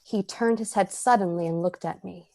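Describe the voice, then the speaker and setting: A woman playing a cold, predatory poisoner who narrates an old-time radio drama in a measured, dramatic way. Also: fairly low pitch, vocal fry, darkish tone. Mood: cold, gloating. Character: cold, predatory, calculating poisoner telling the tale.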